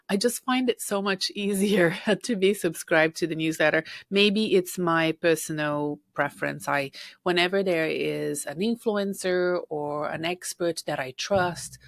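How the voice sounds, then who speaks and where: A woman hosts a solo podcast and speaks warmly to her listeners as a career coach. Her voice is low and gravelly, husky from being sick. She is recorded close in a dry room with no echo. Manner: chatty, personal, addresses listener directly. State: husky from recent illness.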